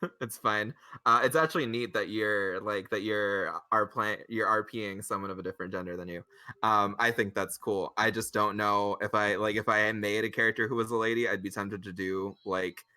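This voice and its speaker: A talkative man chatting casually as a player in a tabletop roleplaying game, quick to cut in on others. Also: lowish voice, fairly even intonation, pushed and bright, no echo.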